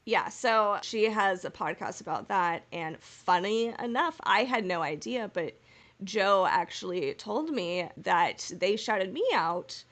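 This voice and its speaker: A woman's voice: mid-range voice, animated, unhurried, fairly bright tone. A woman co-hosting a casual fan podcast, gushing and repeating words for emphasis. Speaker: podcast co-host.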